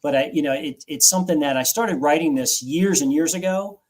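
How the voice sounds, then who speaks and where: A man's voice: fairly high pitch, a little fast, a little mellow. A man in his forties, a guest author recounting his own story in an interview, earnest and reflective, often restarting phrases.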